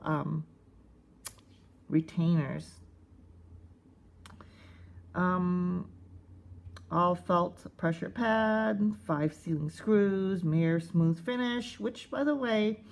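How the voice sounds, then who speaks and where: A woman giving casual, unscripted hands-on review commentary in a halting, conversational way, thinking aloud. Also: fairly low pitch, darkish tone. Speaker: host of a cassette collecting video channel. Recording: faint background noise, casual tablet recording.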